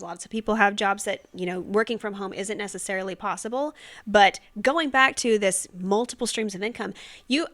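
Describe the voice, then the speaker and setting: A woman's voice: medium pitch, a bit bright. A woman co-hosting a podcast, speaking earnestly and affirming her partner often. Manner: earnest, affirming, quick to agree. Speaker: podcast co-host. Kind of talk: casual podcast conversation.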